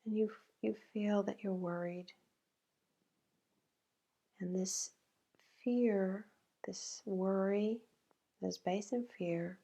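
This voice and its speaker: Slow, creaky-voiced woman guiding meditation. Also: mid-range voice, steady tone, warm tone. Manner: slow, halting, with long pauses. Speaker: meditation guide. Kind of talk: guided meditation.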